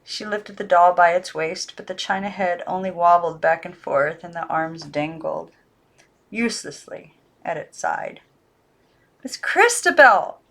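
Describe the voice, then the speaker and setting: A woman reading a children's novel aloud in calm, even narration, now and then correcting herself. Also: lowish voice, measured pace, vocal fry. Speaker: audiobook reader.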